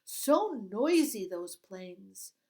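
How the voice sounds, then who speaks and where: A clever young girl who talks her way out of trouble, chattering and spilling words out, her voice lifted high and loud over the reading's slow pace, as a woman reader puts her on. She keeps the words tumbling so no one can cut in. It is storybook acting. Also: big pitch swings. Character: quick-talking clever peasant girl, bluffing.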